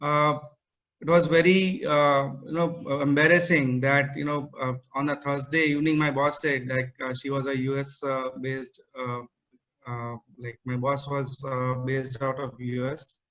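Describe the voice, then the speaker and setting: A man speaks over a video call, his pitch staying fairly flat. He halts often with fillers and restarts, presenting to an online meetup. The call audio sounds somewhat muffled. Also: unhurried. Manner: hesitant, many fillers and restarts. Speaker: guest speaker.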